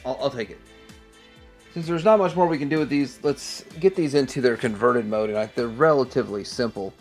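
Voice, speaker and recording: A man's voice: mid-range voice, fairly quick. Casual man reviewing toys over music. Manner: rambling, thinking aloud as he handles things. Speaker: toy review channel host. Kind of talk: casual hands-on product review.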